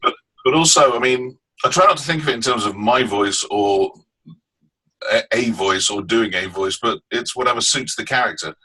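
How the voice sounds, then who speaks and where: A man's voice: mid-range voice, moderate pace, bright, forceful tone, breathy edge, poor audio. A man, a voice actor, speaking thoughtfully in a casual webinar chat. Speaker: voice actor guest.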